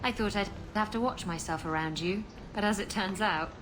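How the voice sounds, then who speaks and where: An actress playing a flirty, teasing roguish adventuress in a video game, with a playful, suggestive drawl. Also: female voice, mid-range voice, normal speed, background noise, lightly acted. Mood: relaxed, friendly. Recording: game audio over clear background ambience.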